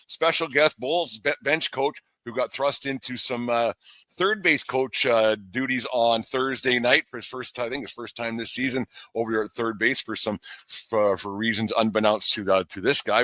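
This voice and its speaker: A man hosting a live sports interview show, speaking in a halting, rambling way. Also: medium pitch, pushed and bright, dry recording.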